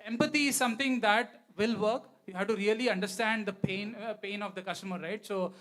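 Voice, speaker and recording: A man with an Indian accent answering questions as a guest speaker, explaining his points haltingly and without a script. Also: sits high, a bit bright. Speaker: guest speaker, company founder. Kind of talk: unscripted question-and-answer on stage.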